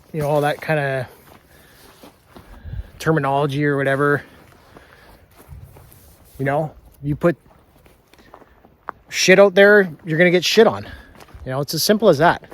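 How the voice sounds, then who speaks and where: A man vlogging casually outdoors at his homestead sawmill, rambling in a folksy way with lots of fillers and "right?" tags. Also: on the high side. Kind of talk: casual vlog talk to camera. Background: generator running.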